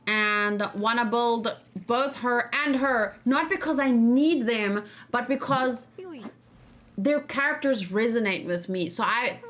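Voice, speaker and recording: Woman, game streamer, fairly high voice, casual opinionated chat straight to viewers. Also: takes it slowly, a bit bright, a little hiss or hum. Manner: opinionated, thinking aloud, addressing viewers. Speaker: gaming streamer. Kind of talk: casual game reaction and discussion.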